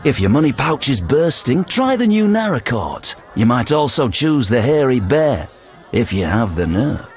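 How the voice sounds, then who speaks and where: A wheedling dice gambler voiced by a man from a fantasy game, in a medium-pitched voice that swoops dramatically. He is courteous and flowery, with an insinuating manner. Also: big pitch swings, normal speed, a faint crowd, close to an everyday voice. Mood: sly. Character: smooth-talking, wheedling male dice gambler. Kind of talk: video game dialogue acting.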